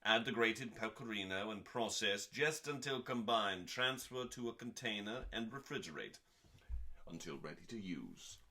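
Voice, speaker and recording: A man reads recipes aloud with theatrical weight. His delivery is slow and deliberate, broken into short phrases with clear pauses. The voice is bright and forceful, with dramatic rises and falls and the odd deadpan aside. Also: fairly low pitch. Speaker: host reading cookbook recipes aloud.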